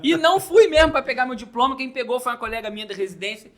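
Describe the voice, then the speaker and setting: A young doctor from Rio de Janeiro with a mild Carioca accent, telling stories and joking in a casual podcast chat. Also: male voice, very high-pitched, rapid-fire. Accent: mild Carioca Brazilian Portuguese. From Rio de Janeiro, Brazil. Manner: joking, animated storyteller. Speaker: pediatric intensive care doctor, guest.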